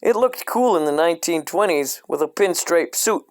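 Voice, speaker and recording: A man reading a comedic parody children's book aloud in a deadpan, scripted storyteller style. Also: fairly high pitch, moderate pace.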